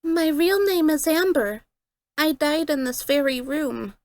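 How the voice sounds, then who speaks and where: Woman voicing a high, sweet little ghost girl. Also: lively intonation, unhurried, no room sound. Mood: sad. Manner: polite, gentle.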